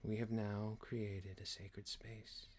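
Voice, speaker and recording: A man performing an ASMR roleplay as a calm, genteel boss, speaking in a hushed, deliberate, soothing, often near-whispered way. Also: fairly low pitch. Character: calm, genteel male boss leading a relaxation ritual. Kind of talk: ASMR roleplay, guided relaxation.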